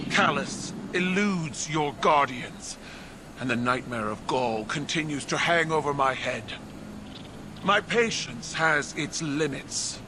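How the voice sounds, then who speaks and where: An actress playing a proud alien warrior empress in a video game, commanding, formal and defiant. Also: female voice, low voice, background noise. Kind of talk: video game dramatic dialogue.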